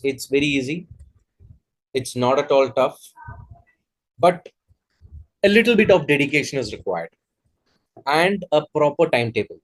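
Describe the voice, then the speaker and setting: A man's voice: on the high side, low-quality recording. Earnest Indian-accented man teaching online. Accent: Indian English. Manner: earnest, with frequent hesitations and restarts. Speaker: exam coach. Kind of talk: online class session.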